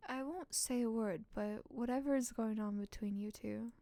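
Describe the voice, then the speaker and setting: A woman voicing a stern, commanding woman of the royal household in a visual novel, formal and measured. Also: a little high, warm tone, no echo, lightly acted. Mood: stern warning.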